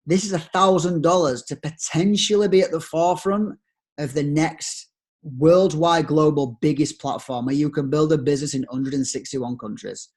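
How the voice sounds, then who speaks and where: A young man with a broad Yorkshire accent giving an upbeat, persuasive sales pitch to network marketers over a screen share, using plenty of rhetorical questions. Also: moderate pace, a little mellow. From Yorkshire, England.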